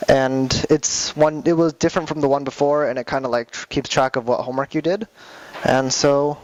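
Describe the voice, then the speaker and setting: Young guy lecturing a class, lots of ums and restarts, normal pitch, classroom sound. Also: fairly even intonation, a little mellow, dry recording, lo-fi sound. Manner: halting, many fillers and restarts. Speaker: class instructor. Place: classroom with projector.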